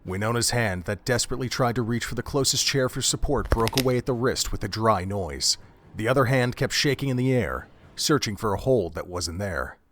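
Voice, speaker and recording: A man narrating a gruesome horror audio drama in a measured, dramatic storytelling manner. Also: medium pitch, expressive, a bit bright, slight background noise.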